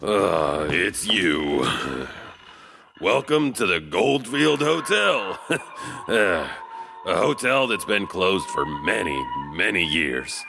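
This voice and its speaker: Slow, eerie janitor narrator. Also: male voice, sits low, big pitch swings, creaky, music in the background. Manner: slow, drawling, with eerie chuckles. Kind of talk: spooky scripted horror narration.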